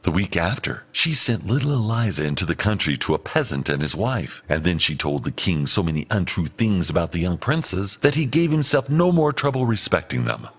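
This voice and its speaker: A man narrating a fairy tale as an audiobook reader, in an expressive storytelling manner. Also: deep voice, fairly quick, few pauses, husky.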